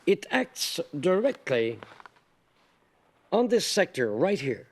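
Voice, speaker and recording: An actor playing a scientist who explains a technical device in a lecturing, demonstrative manner. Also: male voice, high-pitched, sing-song, takes it slowly, full character voice. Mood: explanatory. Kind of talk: scripted film voice-over.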